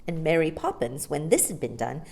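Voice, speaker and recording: Female storytime reader for children's fiction: slightly low register, highly varied intonation, expressive narration. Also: a little fast, creaky, slight background noise.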